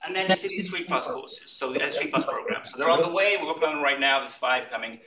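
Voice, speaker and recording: A man from Wales with a Welsh accent mixed with Kentucky, giving a brisk, upbeat welcome talk to students as department chair. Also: fairly high pitch, a crowd in the background. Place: lecture room. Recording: roomy, from a distance, faint noise.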